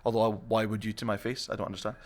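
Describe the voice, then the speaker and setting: A man's voice: on the low side, level tone, very fast. A young man hosting a chatty podcast among colleagues. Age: young adult. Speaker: podcast host, shop staff.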